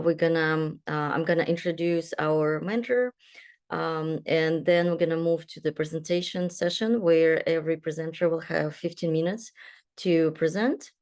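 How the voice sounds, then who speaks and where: A woman's voice: on the low side, darkish tone. A young adult woman from Kazakhstan, a doctoral student, moderating an online panel and introducing speakers, with many fillers and restarts. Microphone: video call.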